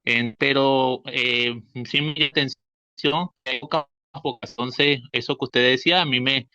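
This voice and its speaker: A man's voice: mid-range voice, steady tone, moderate pace, sharp tone, dry recording, highs cut off. A man from Venezuela with a Venezuelan accent, a teacher commenting spontaneously, hesitant and repeating himself as he searches for words. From Táchira, Venezuela. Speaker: school religion teacher.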